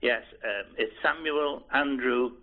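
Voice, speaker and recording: A man in his eighties from Liverpool, England, recalling his life in a hesitant, halting way as an oral history interviewee. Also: mid-range voice. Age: 80s. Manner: hesitant, halting, with many restarts. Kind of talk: rambling reminiscence. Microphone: telephone. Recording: over a phone line.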